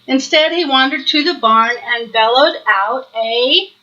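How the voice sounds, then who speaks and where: A woman reads a children's storybook aloud with sing-song expression. Her voice is high and bright, and she takes her time, inviting the listener in. The recording is a little lo-fi. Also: unhurried. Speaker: storybook reader.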